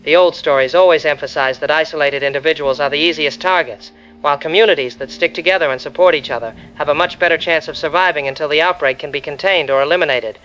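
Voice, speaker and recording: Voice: mid-range voice, quick pace, fairly bright tone, muffled recording, slight background noise, lightly acted. An actor with a male-sounding voice playing an earnest, instructive phone-in caller in a radio drama. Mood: earnest, urgent. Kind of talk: scripted radio drama call.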